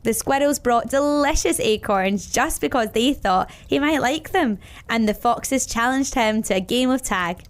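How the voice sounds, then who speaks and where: High-voiced woman storyteller. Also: lively intonation, unhurried, few pauses. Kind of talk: expressive children's story narration.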